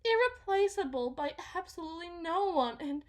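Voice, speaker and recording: A woman playing a delusional, possessive mother in a dramatic ASMR roleplay, halting and repeating herself theatrically, shifting between hushed and intense. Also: very high-pitched, animated. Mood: adoring, intense. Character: delusional, possessive mother smothering her supposed lost child.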